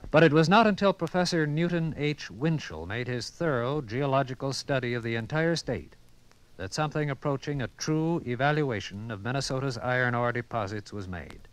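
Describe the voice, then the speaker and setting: A man narrating a 1950s radio documentary in a formal, measured announcer's delivery. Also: mid-range voice, expressive, low-quality recording. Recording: vintage radio broadcast with music.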